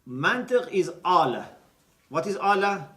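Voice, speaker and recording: A man's voice: high voice, big pitch swings, measured pace, fairly bright tone. A man giving a teaching lecture, explaining step by step and checking in with his listeners. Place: lecture room. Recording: roomy echo, mic at a distance.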